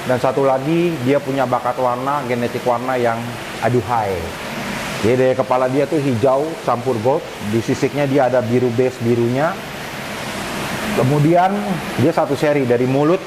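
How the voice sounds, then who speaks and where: Male vlogger, medium-pitched, informal explainer talking to viewers as friends, noticeable hiss behind. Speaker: arowana fish keeper and vlogger. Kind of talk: casual explanatory vlog talk. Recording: clear hissy background noise. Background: steady hiss.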